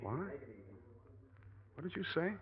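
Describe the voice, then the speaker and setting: A man's voice: slow, lightly acted. A man playing a slick, scheming killer who feigns innocence in a 1940s radio drama, glib and evasive. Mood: feigned surprise. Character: slick, scheming murderer feigning innocence, nervous under questioning. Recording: dull, muffled old radio recording.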